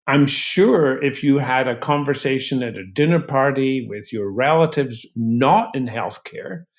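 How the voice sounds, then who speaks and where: A man speaking as an expert interview guest in a deliberate, explanatory way. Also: mid-range voice. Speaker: guest expert, physician researcher.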